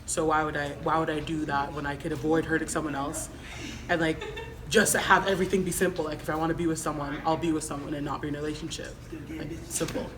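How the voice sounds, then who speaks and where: Young woman, lower pitch, emphatic, opinionated, casual debate host, clearly noisy room. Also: flat delivery, fast. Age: young adult. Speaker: panel host reading questions.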